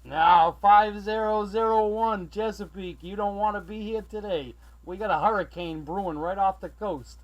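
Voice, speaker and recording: A man from Massachusetts chatting as a CB radio operator, friendly and excitable, calling out callsigns and sign-offs. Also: sits high, moderate pace, hardly any pauses, highs cut off, a little hiss or hum. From Massachusetts, USA. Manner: excitable, friendly, prone to raising his voice. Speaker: CB radio operator running the channel from South Carolina.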